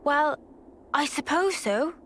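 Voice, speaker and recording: Slow, measured young game heroine. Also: female voice, sits high, animated, a bit bright, slight background noise, full character voice. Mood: calm, measured. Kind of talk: video game cutscene acting.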